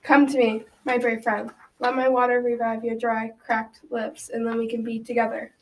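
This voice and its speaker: A woman's voice: fairly high pitch, level tone, normal speed, distant mic, lightly acted, rough recording. A young adolescent girl playing an alluring, beckoning female water spirit in a school radio drama. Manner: beckoning, coaxing.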